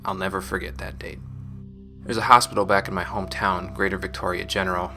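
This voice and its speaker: A man playing a resolute, guilt-burdened space marine, recording a measured, solemn confessional audio log. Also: sits low, little pitch movement, bright, forceful tone, full character voice. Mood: somber, reminiscing. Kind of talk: scripted sci-fi audio log monologue. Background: music.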